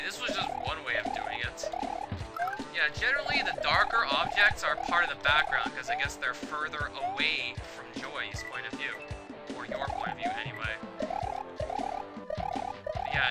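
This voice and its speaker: A man talks over his gameplay in a loose, opinionated way, filling his sentences with casual fillers. His voice sits a bit high for a man and swings widely in pitch. Loud game music plays under everything he says. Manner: chatty, frequent "like" fillers, opinionated. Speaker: let's play commentator.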